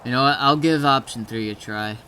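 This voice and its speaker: A man's voice: mid-range voice, fairly quick, sharp tone. A man chatting casually with other players in an online roleplay game. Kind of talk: casual gaming voice chat. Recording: noisy game voice chat audio.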